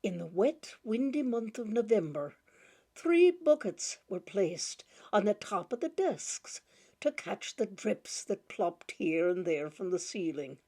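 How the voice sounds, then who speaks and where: A woman reads a short story aloud at an unhurried, leisurely pace. Her telling is gentle and fond, with soft laughs coming through. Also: medium pitch, very animated. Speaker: narrator reading a short story. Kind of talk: storybook reading.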